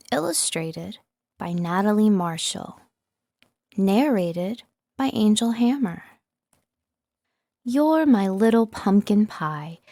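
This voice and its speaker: A woman delivering scripted voice-over narration as a voice artist, articulating clearly and reading in a measured way. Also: mid-range voice, darkish tone, dry recording, studio quality.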